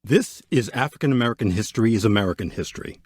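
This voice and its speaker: A man hosting a history series, reading scripted documentary narration with measured, emphatic phrasing. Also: on the low side, expressive, no room sound, soft background music.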